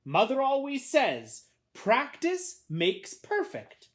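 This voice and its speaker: Nagging storybook big sister read by a woman, high, scolding, heavily stressed and very animated. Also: full character voice. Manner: scolding, drawn-out emphasis. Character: bossy, nagging older sister, a young girl.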